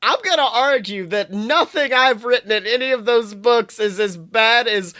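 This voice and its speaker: A man co-hosting a podcast in casual comedic chat, swearing freely with animated exclamations. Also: very high-pitched, moderate pace. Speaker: podcast co-host.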